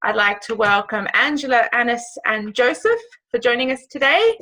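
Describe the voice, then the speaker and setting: A woman hosting a live online Q&A over a video call, guiding the students with friendly, measured questions. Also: fairly high pitch, big pitch swings, sharp tone, poor audio. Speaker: host.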